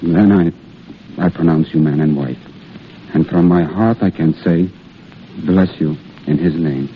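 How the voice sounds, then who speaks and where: An actor playing a weary, gentle army priest, halting and solemn, in an old radio drama. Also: male voice, low-pitched. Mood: solemn, tender. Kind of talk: 1930s-40s radio drama acting. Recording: muffled, noisy old radio broadcast.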